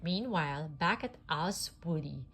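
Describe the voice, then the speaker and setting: A woman reads a children's storybook aloud. Her voice sits fairly low and moves slowly, with very lively, expressive rises and falls. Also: husky. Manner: deliberate, expressive. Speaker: storybook reader. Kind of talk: children's read-aloud narration.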